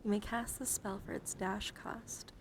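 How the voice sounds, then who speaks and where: A woman hosting an ASMR livestream, speaking softly and breathily into a close mic, often slipping into whispers, in a playful, cutesy, scattered way. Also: mid-range voice, flat delivery, a little slow, noisy background. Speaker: livestream host. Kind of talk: ASMR livestream, whispering and soft talk to chat.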